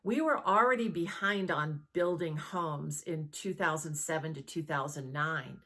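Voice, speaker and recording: A woman real estate agent talking conversationally to camera, explaining as she goes and often checking in with a quick questioning tag. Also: sits low, pushed and bright. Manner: conversational, checks in with rhetorical "right?".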